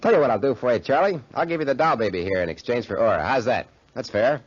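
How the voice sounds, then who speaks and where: A man's voice: mid-range voice, expressive, quick pace, close to an everyday voice. An actor playing a cynical, callous young schemer in a 1940s radio drama, with slangy American tough-guy talk. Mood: smug, mocking. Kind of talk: 1940s radio drama acting with first-person narration. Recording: muffled, band-limited 1940s radio recording.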